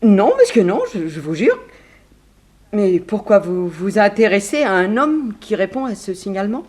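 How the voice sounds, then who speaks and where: A woman's voice: mid-range voice, sing-song, normal speed, darkish tone. An actress playing a flustered, evasive married lady who protests hesitantly in a 1960s French radio drama. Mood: flustered, defensive. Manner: hesitant, protesting, repeating herself. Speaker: actress in a detective radio serial.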